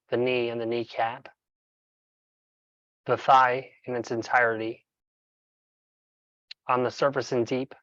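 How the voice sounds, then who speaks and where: Male coach for guided meditation narration. Medium pitch, measured and instructional, pausing deliberately. Dry, slightly dull recording. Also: dry recording.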